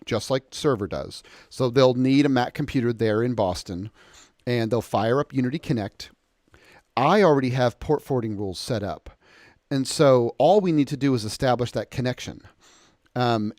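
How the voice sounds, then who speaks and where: American man giving an informal software walkthrough. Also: medium pitch, no echo, studio quality. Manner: explanatory, conversational. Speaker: software tutorial presenter. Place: indoors, close dry voice-over of a screen recording.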